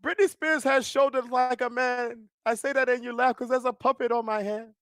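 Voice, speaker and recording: High-voiced teasing male co-host. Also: fairly even intonation, normal speed, a bit bright, clear, resonant tone, no room sound, studio quality. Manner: teasing, banters. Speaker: podcast co-host. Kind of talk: casual podcast chat.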